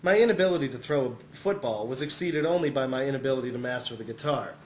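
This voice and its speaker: A man's voice: medium pitch, quick pace, darkish tone, roomy sound, a little hiss or hum. A man reading a humorous first-person essay as scripted narration, sounding amused and often close to chuckling.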